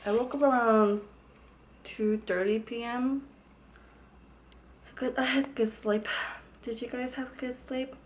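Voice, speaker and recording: A woman's voice: a little high, fairly even intonation, slow pace, pauses often, a little hiss or hum. A woman chatting casually to her camera at home, rambling with lots of "like" fillers. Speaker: vlogger. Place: at home indoors.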